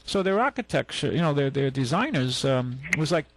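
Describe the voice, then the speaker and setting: A man's voice: mid-range voice, very animated, brisk, noisy background. A man hosting a radio car show, chatting casually about old cars in a rambling way with plenty of fillers and restarts. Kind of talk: casual on-air car chat. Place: radio studio.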